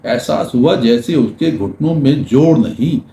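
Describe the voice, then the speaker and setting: Older guy reading a literary essay, soft warm tone, careful pauses, echoey room w faint noise. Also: mid-range voice, animated, creaky. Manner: measured, literary diction with deliberate pauses. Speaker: lecturer. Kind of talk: literary talk read from a written essay, with verse recitation. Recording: roomy echo with faint background noise.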